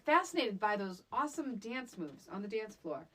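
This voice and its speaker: A woman co-hosting a podcast, chatting casually about a movie in an animated, exclamatory, conversational way. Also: medium pitch, normal speed, husky. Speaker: podcast co-host. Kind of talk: casual movie recap chat.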